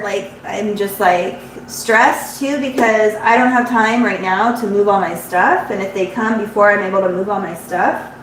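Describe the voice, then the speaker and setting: A woman's voice: medium pitch, far from the mic. A woman running an embroidery shop chats casually on a live stream while she sews. She sounds congested and rambles, restarting her sentences often. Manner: chatty and rambling, with frequent restarts. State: congested, runny nose. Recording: very echoey room, voice off-mic, audible background hum.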